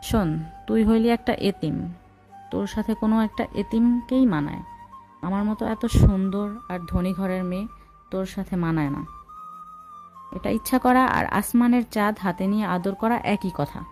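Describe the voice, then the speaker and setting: A woman's voice: mid-range voice, moderate pace, darkish tone, lightly acted. Casting: actress as snobbish young rich girlfriend, cold and dismissive, audio romance drama, music underneath. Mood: cold contempt. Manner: scornful, dismissive. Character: cruel, haughty young rich girlfriend dumping her poor lover. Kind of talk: audio love-story drama.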